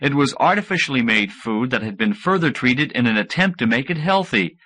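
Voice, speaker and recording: A man narrates a novel in a mid-pitched voice with lively, swinging intonation. He reads as a practised audiobook narrator, giving characters their own voices. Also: fairly quick. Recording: 1980s audiobook cassette recording.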